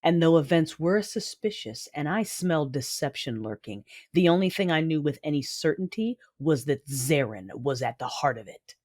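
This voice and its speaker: A man playing a coldly cruel dark-side warlord in an audio drama, grave, menacing and deliberate in his delivery. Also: on the high side, no room sound, clean studio sound. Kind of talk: first-person audio drama narration and dialogue.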